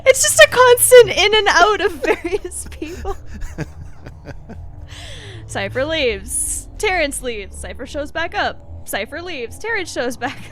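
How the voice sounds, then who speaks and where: High, animated woman bantering at game. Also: slow pace. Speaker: tabletop game player. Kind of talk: casual role-play banter.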